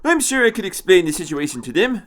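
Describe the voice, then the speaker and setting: A man's voice: sits high, sing-song, fast talker, a bit bright, voice pushed up. A man voicing an irritable, imperious old man time-traveller in an audio story, hesitant and repeating himself. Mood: self-assured.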